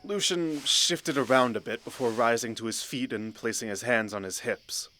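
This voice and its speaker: A man's voice: mid-range voice, moderate pace, pushed and bright, rough recording. Male fantasy narrator over prominent music. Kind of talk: scripted fantasy story narration.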